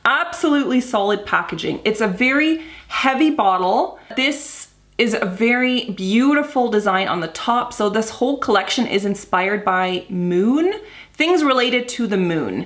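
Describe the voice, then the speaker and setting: A woman's voice: medium pitch, a bit bright. A young adult woman chatting casually at home as she reviews a perfume, easygoing and full of fillers. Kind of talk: casual product review vlog. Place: home room with wood floor.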